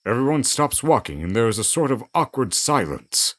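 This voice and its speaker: A man's voice: mid-range voice, big pitch swings, moderate pace, fairly bright tone. A man narrating a scripted fantasy audio drama in a dramatic storytelling style. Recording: music under the voice. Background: music.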